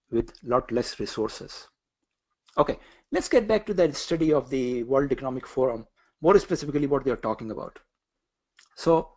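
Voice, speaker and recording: A middle-aged man from Pakistan speaks with a Pakistani accent, teaching a career coaching session. He talks earnestly and often repeats words as he thinks. His pitch is in the middle for a man. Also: a little mellow. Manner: earnest, repeats phrases, frequent uh fillers. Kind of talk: online coaching session lecture.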